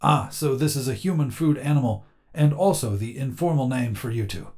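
A man's voice: mid-range voice, normal speed, a little mellow, voice pushed up, lightly acted. A man voicing a good-natured male shrimp-like alien speaking through a translator, cheerfully formal and matter-of-fact, in an audio story. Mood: upbeat, accepting.

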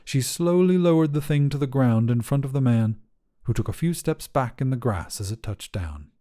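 A man's voice: medium pitch, warm tone. A man narrating a scripted short story as a fiction podcast reader.

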